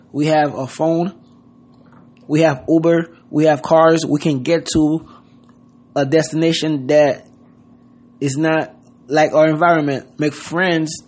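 A man from Haiti giving an earnest motivational talk, loosely worded and full of "you know what I mean.". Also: a little high. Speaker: podcast host. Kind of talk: casual motivational monologue.

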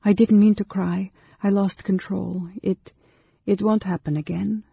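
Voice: medium pitch, steady tone, a little slow, gravelly, breathy edge, no echo, highs cut off, voice pushed up. A performer voicing a timid, distraught young woman who falters and chokes on words, in a fantasy story. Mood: tearful, apologetic.

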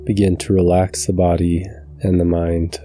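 Low, even-toned male meditation guide over music. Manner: calm, measured, soothing delivery. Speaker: meditation guide, channel host. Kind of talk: scripted guided meditation narration.

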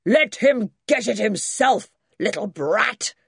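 A woman voicing a cantankerous old great-grandfather in a storybook, gruff and bellowing. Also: lowish voice, lively intonation, takes it slowly, dry recording, studio quality. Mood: scornful.

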